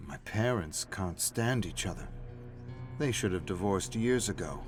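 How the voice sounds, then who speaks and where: A man's voice: sits low, expressive, a little slow. A man reading a story aloud as a scripted, dramatic narrator. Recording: music playing behind the voice. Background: faint background music.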